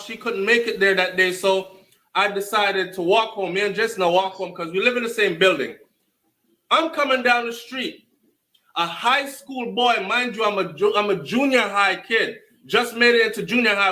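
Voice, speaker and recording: A Jamaican man with a very high, sharp, bright voice. He tells his own story with emphasis. He slips into patois and swears freely as he goes. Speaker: storyteller recounting own life. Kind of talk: animated personal storytelling to camera.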